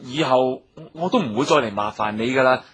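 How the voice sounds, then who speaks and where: A man acting an anxious, placating, self-interested gentleman in a radio drama, speaking persuasively. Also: medium pitch, brisk, natural voice. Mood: eager, pleading. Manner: persuasive, coaxing.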